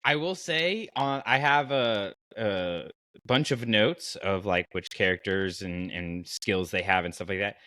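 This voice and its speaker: A man hosting a podcast in casual chat, with a playful, animated way of bantering. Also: fairly low pitch, a little slow, dry recording. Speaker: podcast host.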